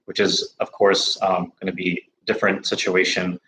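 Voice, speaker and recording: A man's voice: low voice, normal speed, echoey room, low-quality recording. American man hosting a live Q&A. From United States. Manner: relaxed, many fillers and restarts. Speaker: host of a study-abroad livestream. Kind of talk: casual live Q&A chat.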